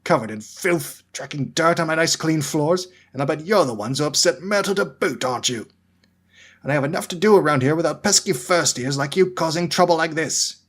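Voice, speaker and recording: A man's voice: fairly high pitch, animated, fairly bright tone, pitched above the performer's own voice. A man voicing a grumpy, wheezy old male caretaker who snarls his complaints. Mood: angry. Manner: snarling, slow and scornful.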